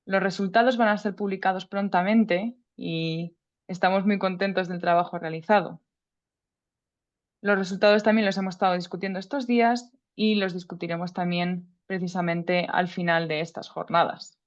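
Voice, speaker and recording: A woman presenting a research project at an online seminar. She reads from a prepared text in a measured, formal way, with the odd casual aside. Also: lowish voice, steady tone, highs cut off. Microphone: video call audio.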